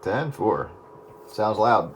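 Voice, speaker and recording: Deeper guy chatting on cb, signal drowning in static. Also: big pitch swings, drawn-out words. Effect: two-way radio transmission. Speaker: CB radio operator. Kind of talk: casual radio chat. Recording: faint CB signal in loud static.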